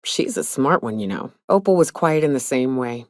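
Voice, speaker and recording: Tough, guarded female hunter for a space game; low register, blunt folksy drawl, close dry recording. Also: sing-song, moderate pace, dry recording. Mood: somber, reflective. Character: tough, gruff, guarded woman monster hunter in a spaceship crew. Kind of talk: video game character dialogue.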